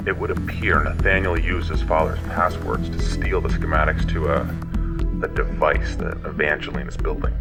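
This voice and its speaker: A man voicing a weary, grieving immortal and retired rebel who speaks in a grave, quiet way. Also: low voice. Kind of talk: audio drama acting. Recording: music score underneath. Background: dramatic music.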